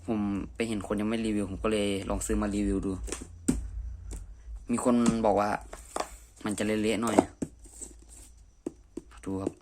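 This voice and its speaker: A man's voice: on the low side, flat delivery, measured pace, pauses often. A man chatting informally and unscripted as an amateur reviewer, thinking aloud in a room at home. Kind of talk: casual unscripted review chat. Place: messy room indoors. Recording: clearly noisy amateur home recording.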